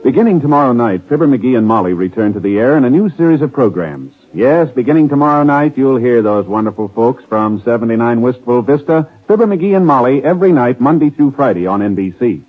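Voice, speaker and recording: Formal vintage radio announcer. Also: male voice, mid-range voice, lively intonation, a little fast, hardly any pauses. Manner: crisp, formal announcer delivery. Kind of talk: 1950s network announcement. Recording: tinny old radio broadcast with music.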